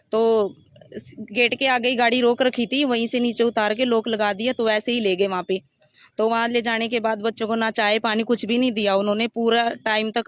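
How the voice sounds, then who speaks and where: A woman's voice: fairly high pitch, fairly bright tone, dry recording. A woman giving a detailed, step-by-step personal account in an interview. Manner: rambling, detailed step-by-step recounting. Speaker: interviewee recounting her complaint.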